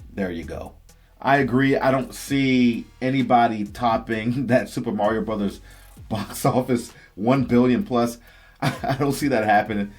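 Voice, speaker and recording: Low-voiced man in casual fan commentary. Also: a little mellow. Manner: enthusiastic, slangy fan talk. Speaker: host of a video game and movie news channel. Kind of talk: casual opinion commentary to viewers. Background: background music.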